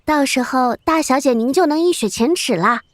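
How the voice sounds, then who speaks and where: Casting: actress as young servant maid, high voice, eager to please, anxious, animated fantasy. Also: female voice, normal speed, dry recording, close to an everyday voice. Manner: anxious, deferential. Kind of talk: animation voice acting.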